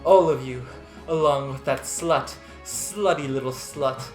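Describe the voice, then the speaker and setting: Vengeful slasher girl, played by an amateur reader: deliberate, threatening, mid-pitched, with music behind. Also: echoey room, lightly acted. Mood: vengeful rage. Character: vengeful young female slasher, menacing werewolf-pack killer.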